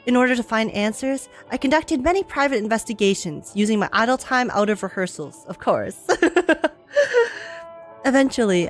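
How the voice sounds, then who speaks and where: A woman streamer playing a video game, reacting casually and reading game text aloud. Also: on the high side. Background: faint game music.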